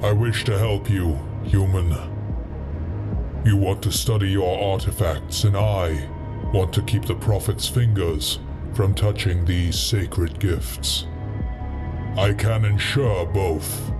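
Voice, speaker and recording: A man's voice: low voice, fairly even intonation, slow. A man playing a proud, menacing alien shipmaster in a sci-fi audio drama, solemn and full of contempt. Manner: solemn, imperious, contemptuous of humans. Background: music.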